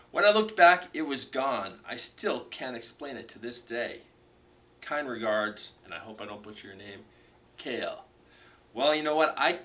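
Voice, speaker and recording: Guy telling a listener story kinda conversational, bright forceful voice, normal pitch. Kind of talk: reading a listener story, then chatting.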